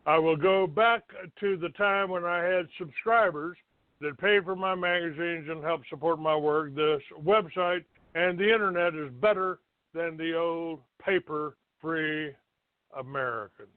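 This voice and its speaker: Slow, halting man on a phone-like line. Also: fairly high pitch. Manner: halting, grumbling, blunt with swearing. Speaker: talk show host. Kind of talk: rambling monologue, reading out a written notice. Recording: thin phone-like band, roomy echo.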